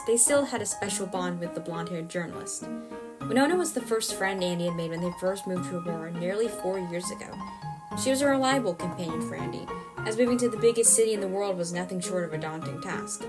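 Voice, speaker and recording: Woman narrating a story over music. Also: on the low side. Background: music bed.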